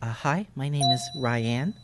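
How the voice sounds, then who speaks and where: A man improvising a silly dating profile in a mock-sweet, deadpan way. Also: medium pitch, measured pace. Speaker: panelist.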